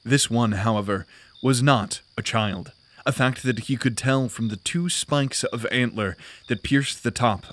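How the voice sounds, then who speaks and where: A man gives scripted narration for a full-cast fantasy audio drama. His delivery is measured and descriptive, at an ordinary middle pitch, and it carries the story forward steadily.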